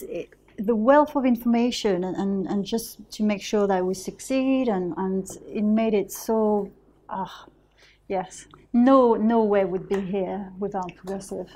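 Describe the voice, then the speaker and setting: A woman's voice: mid-range voice, darkish tone. A woman with a French accent, a guest on an interview, speaking hesitantly with many restarts. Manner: hesitant, many restarts and fillers, laughs easily. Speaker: guest, property investor. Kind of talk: casual interview chat.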